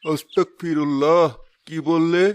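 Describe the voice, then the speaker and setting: An actor voicing a pious elderly man in a cartoon who speaks slowly and reproachfully. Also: fairly high pitch, flat delivery, clean tone, close to an everyday voice. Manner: reproachful, slow and measured.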